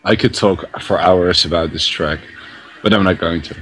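A man's voice: low voice, moderate pace, a bit bright, slightly rough. A young man chatting casually as a co-host reviewing things on a group video call. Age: young adult. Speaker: co-host of a track review stream. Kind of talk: casual chat, commentary. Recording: music playing behind the voice. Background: music.

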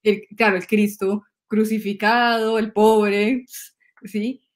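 A woman's voice: medium pitch, little pitch movement, slow pace. A woman in her thirties from Colombia with a Colombian accent, hosting a casual spiritual video-call conversation; she tells stories animatedly, laughs often and repeats words. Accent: Colombian Spanish. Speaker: host, astrologer. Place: home room, indoors. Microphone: video call webcam.

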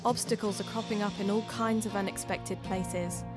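An actress plays an earnest female investigative podcaster in an audio drama. She is polite and measured, with a mid-pitched voice and music behind her. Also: steady tone, lightly acted. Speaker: podcast host and narrator. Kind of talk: scripted audio drama narration.

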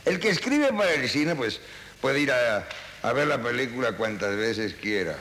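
A man's voice: mid-range voice, big pitch swings, normal speed, a bit bright. A man, a radio writer, delivering a rambling, theatrical lament on a reverberant old film soundtrack. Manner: rambling, dramatic, repetitive.

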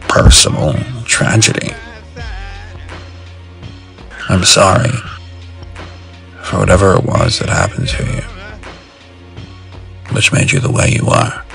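A man's voice: sits low, vocal fry, sharp tone, exaggerated voice. A man playing a drugged, menacing supervillain madman in a comic dub, theatrical and mocking, drawing out words with gleeful hatred. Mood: mock sympathetic. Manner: drawn-out, theatrical, mocking delivery. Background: music.

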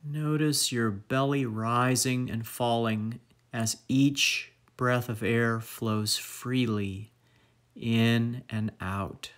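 Male meditation guide, mid-pitched, very slow measured delivery, lingering pauses between phrases. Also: steady tone, fairly bright tone.

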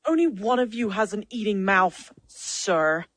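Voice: mid-range voice, animated, unhurried, fairly bright tone, no room sound. Dry, exasperated scold played by an actor. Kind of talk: comedic cartoon dub.

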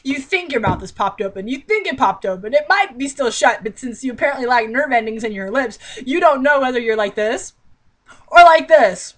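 Young female vlogger, fairly high pitch, theatrical and exasperated, reading aloud with mocking commentary. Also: lively intonation, brisk. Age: young adult. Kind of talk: mocking read-aloud with sarcastic running commentary. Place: small indoor bedroom.

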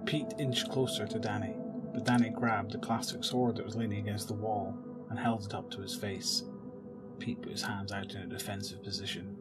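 Low-voiced man narrating over music. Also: steady tone, moderate pace. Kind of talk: scripted audio drama narration.

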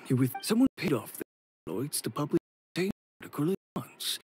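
A man playing an older, formal and apologetic gentleman supplier with a husky voice in a video game. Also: on the high side, very animated, moderate pace, heavily acted.

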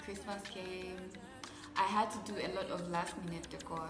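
A young woman chats to her phone camera from her bedroom, rambling with lots of fillers and easy laughs. Her voice sits fairly low for a woman, and clear music plays underneath. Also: steady tone, normal speed. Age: young adult. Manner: chatty, filler-heavy, laughs often. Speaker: vlogger. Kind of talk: casual chat to camera. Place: bedroom at home, indoors. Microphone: phone or camera at arm's length.

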